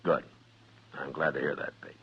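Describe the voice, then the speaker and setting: An American actor playing a stern, world-weary US marshal in an old radio western. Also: male voice, rumbling low, little pitch movement, normal speed, slightly rough, heavily acted. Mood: firm, fatherly. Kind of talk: 1950s radio western acting and narration. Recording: dull old radio recording, music behind.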